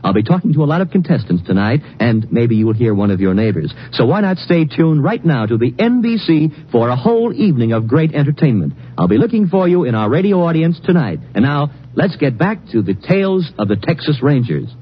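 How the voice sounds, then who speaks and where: A man's voice: medium pitch, big pitch swings, a little fast, hardly any pauses, breathy edge. A male radio host delivering an old-time 1950s network promo for the evening's programs. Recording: dull old radio broadcast recording.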